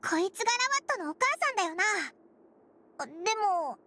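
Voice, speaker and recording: A woman voicing a small, childlike fairy companion in a video game, brash and excitable, with blunt, boyish phrasing. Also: very high-pitched, brisk. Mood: brash, excited. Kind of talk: Japanese game voice acting.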